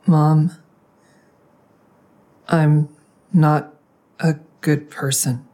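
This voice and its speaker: An actress playing a hesitant, guilt-ridden grown daughter who narrates and confesses plainly in an audio drama. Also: female voice, low voice, fairly even intonation, drawn-out words, mellow. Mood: hesitant, guilty. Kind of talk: audio drama acting with first-person narration.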